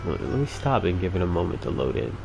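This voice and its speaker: Man, low gravelly voice, casual game streamer, noisy background. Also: very animated, fast talker. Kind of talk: casual gameplay commentary. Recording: noisy, game audio behind.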